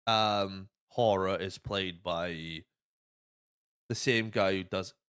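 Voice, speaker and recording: A man hosting a casual film podcast, retelling plots in an animated, sweary way. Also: low-pitched, takes it slowly, smooth. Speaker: podcast host.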